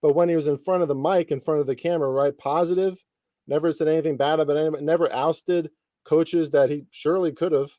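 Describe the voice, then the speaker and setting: A man's voice: a little high, fairly even intonation, fast, mellow, no echo. A man in his thirties hosting a podcast, chatting casually about sports in informal, slangy language with some light swearing. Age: 30s. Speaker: podcast host interviewing a guest.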